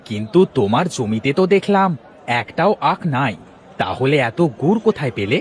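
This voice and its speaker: A man voicing an excitable village man in a children's cartoon folk tale. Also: a little high. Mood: curious, surprised. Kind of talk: children's cartoon story acting.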